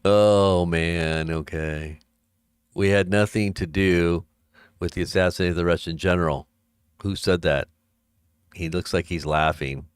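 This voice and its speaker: Low, smooth-voiced man doing dry commentary. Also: fairly even intonation, measured pace, long pauses, dry recording. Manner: reads headlines aloud, then adds wry asides and chuckles. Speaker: host of an online ministry live stream. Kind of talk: casual live-stream commentary reading news headlines.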